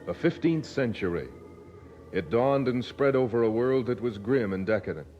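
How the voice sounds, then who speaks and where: A man's voice: medium pitch, expressive, normal speed. Solemn male radio narrator over music. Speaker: announcer and narrator. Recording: dull old radio recording.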